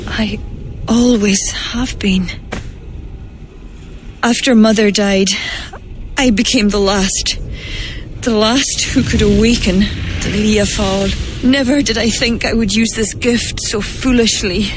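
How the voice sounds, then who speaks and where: An actress playing a grieving, proud druid woman in a video game, speaking gravely and ready to sacrifice herself. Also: medium pitch, fairly even intonation, takes it slowly, noisy background, close to an everyday voice. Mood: wounded, solemn. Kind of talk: cinematic video game acting. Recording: game music behind the voice.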